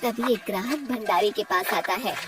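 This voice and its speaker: Woman narrating over clear music. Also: medium pitch, moderate pace, far from the mic. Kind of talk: scripted children's story narration.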